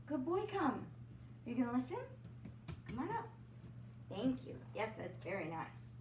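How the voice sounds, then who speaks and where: Lady reading a kids book out loud, real expressive and singsongy, chatty asides, bit far from the mic in an echoey room. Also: fairly high pitch, normal speed, a little hiss or hum. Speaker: storybook reader, teacher. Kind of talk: read-aloud children's story narration.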